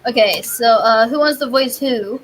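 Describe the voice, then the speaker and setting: A woman's voice: a little high, moderate pace, slightly rough, poor audio. A woman streamer chatting casually with friends over a game, hesitant and leaning on the word "like". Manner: hesitant, filler-heavy talk with frequent "like". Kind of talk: casual chat while playing and watching. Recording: background music behind the voice.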